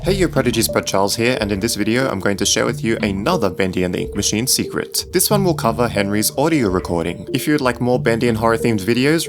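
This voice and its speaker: A man hosting a video, narrating a scripted game guide. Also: fairly low pitch. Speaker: video host. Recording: music behind the voice. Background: music.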